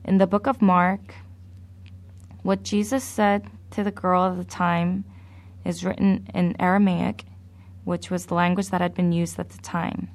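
A woman preaching a sermon in a slow, measured, deliberate way. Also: fairly low pitch, level tone.

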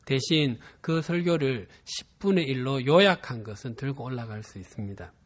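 A man in his fifties from Busan with a Gyeongsang dialect accent, preaching a sermon and telling a personal story in a measured, earnest way. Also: medium pitch. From Busan, South Korea. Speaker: pastor.

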